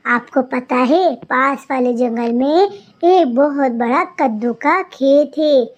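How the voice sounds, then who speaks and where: Casting: woman as cartoon mother bird and devoted wife, very high voice, slow delivery, soothing and practical. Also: darkish tone. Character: Tuntuni, caring reassuring mother bird, a wife. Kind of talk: children's cartoon story voice acting.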